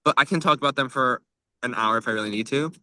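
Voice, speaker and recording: A man's voice: mid-range voice, quick pace, sharp tone. A young man co-hosting a podcast, chatting informally and peppering his talk with "like.". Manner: chatty, informal, frequent 'like'. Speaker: podcast co-host. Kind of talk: casual podcast chat.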